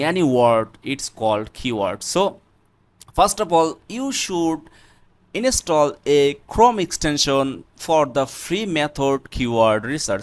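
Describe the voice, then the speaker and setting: This is a man with a Bangladeshi accent leading an unscripted screen tutorial. His pace is slow and his pitch sits a little high for a man. He talks haltingly, often repeating himself as he explains each step. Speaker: tutorial presenter.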